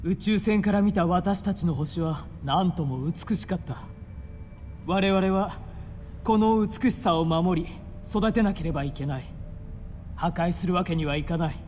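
An actor playing an earnest, noble hero in a scripted game line. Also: male voice, high-pitched, measured pace, darkish tone, soft background music. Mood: earnest wonder. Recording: long hall reverb on the voice.

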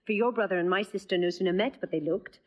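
A woman's voice: medium pitch, natural voice. An actress playing a witty, playful young noblewoman in disguise, in classical stage verse. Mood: teasing. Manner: quick, teasing, bold. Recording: dull lo-fi audio.

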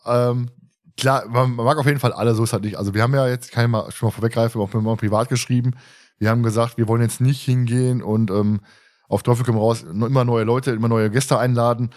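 A man with a mid-pitched voice hosts a casual fan podcast. He talks informally and rambles, often doubling back to repeat a word or phrase. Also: steady tone, quick pace, darkish tone. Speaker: podcast host.

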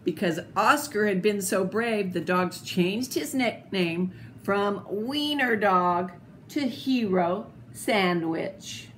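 Slow older woman reading a storybook, noisy recording. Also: mid-range voice, expressive. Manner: slow, deliberate, theatrical phrasing. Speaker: storyteller reading picture books aloud. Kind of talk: expressive children's storybook read-aloud with asides. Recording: clearly noisy home video recording.

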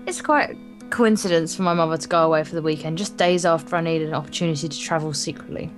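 A woman's voice: low voice, fast talker. A woman co-hosting a let's play, reading a visual novel aloud with playful, casual banter. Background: soft guitar music.

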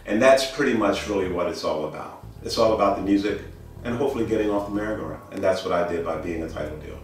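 A man's voice: low voice, quick pace. A middle-aged man presenting products informally as an audio dealer, emphatic and fond of repeating phrases. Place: indoor wood-floored listening studio. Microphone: handheld camera a few meters away. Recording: echoey room, distant camera mic, music behind.

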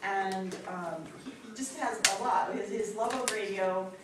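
An older woman hosting an event, making informal announcements to an audience in a hesitant, halting way. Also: mid-range voice, far from the mic. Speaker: event host introducing a speaker. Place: indoor meeting room. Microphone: distant camera microphone. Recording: echoey, noisy room recorded from afar.

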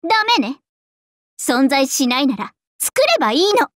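A woman's voice: sits high, sing-song, measured pace, dry recording. A woman voicing a vain, bubbly young princess idol in a Japanese anime game, with a haughty, playful and theatrical delivery. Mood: haughty, excited.

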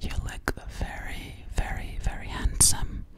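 A woman's voice: a little slow, natural voice. A young woman playing a sweet, slightly sassy alien girl in a soothing ASMR roleplay, whispering breathily close to the microphone and repeating her words. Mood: sweet, coy. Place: small dim living room, indoors. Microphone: close studio microphone with foam cover, binaural.

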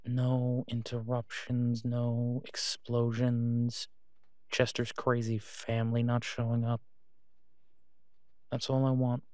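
Male actor as tired werewolf mate, mid-pitched, halting, reassuring, devoted, intimate audio roleplay, dry sound. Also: completely flat delivery, a little mellow, clean tone, no room sound, close to an everyday voice. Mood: tender, wistful. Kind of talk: intimate roleplay audio drama, one side of a phone call.

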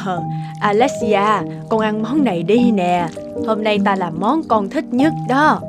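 A woman playing a busy, attentive young wife and mother in an animated drama. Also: medium pitch, unhurried, loud music behind the voice, lightly acted. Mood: gentle, brisk. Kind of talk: dubbed animated drama acting.

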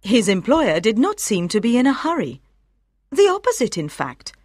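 A woman reading scripted audiobook narration, telling a story with clear articulation for language learners. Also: on the high side, huge pitch swings, moderate pace, dry recording, clean studio sound.

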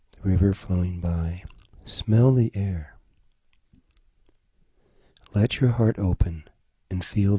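A man leading a guided meditation, giving gentle, soothing instructions in short phrases. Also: low voice, husky, no echo. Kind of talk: slow guided meditation narration.

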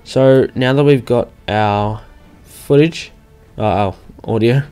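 A man's voice: medium pitch, slow pace, dark tone, clean tone. Casual young man narrating over music. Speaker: tutorial host. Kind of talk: casual software screen-recording walkthrough.

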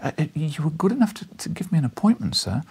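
A man's voice: a little high, big pitch swings, fast, darkish tone, breathy edge, voice pushed up, exaggerated voice. A man voicing a humble, flustered young journalist in a storybook, stammering and over-eager to agree. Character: meek flustered young male journalist bluffing politely.

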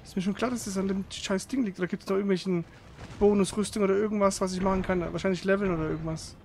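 A man with a high voice chats through a video game at a quick clip. He grumbles and swears freely in a casual let's play style, with noise behind him. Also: steady tone, a little mellow. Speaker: gamer playing and commenting for a channel.

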